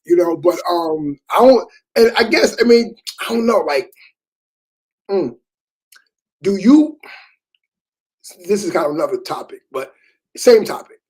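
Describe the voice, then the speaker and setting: A man's voice: high-pitched, animated, a little slow, pauses often, a little mellow, husky, rough recording. A man in his thirties in casual chat about parenting, laid-back and repetitive, with many fillers.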